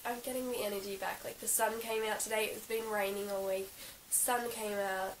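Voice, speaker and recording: Croaky giggly Australian young woman. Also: mid-range voice, steady tone, a little fast. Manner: chatty, giggly, lots of ums and likes. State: croaky from being sick. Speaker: equestrian vlogger. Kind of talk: casual show-and-tell vlog. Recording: roomy, slightly distant with hiss.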